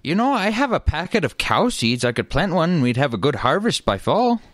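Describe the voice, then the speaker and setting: A man's voice: a little high, very animated, fairly quick, fairly bright tone, no echo, studio quality. A man voicing an earnest, scheming leprechaun who argues eagerly in a comic radio play. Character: earnest, scheming male leprechaun council member.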